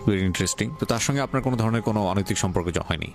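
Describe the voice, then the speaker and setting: A man with a Bangladeshi accent hosting a radio talk show, questioning deliberately and mixing in English words. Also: on the low side, lively intonation. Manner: deliberate, mixes English words into his speech. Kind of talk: probing interview, addressing guest and listeners. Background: music.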